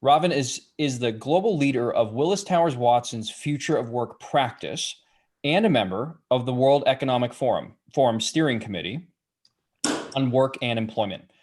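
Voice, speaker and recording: A man with an average-pitched voice hosts an interview podcast over a video call. He reads the guest's introduction and then shifts to relaxed, casual questions. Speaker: podcast host.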